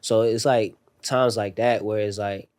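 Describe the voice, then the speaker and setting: A young man speaks as a podcast guest, telling stories in a loose, slangy way full of "like" and "bro." His voice sits low and stays fairly level, with little rise and fall. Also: fairly even intonation, a little slow, darkish tone. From raised overseas in a military family. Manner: laid-back, slangy storytelling with many fillers. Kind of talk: casual podcast conversation.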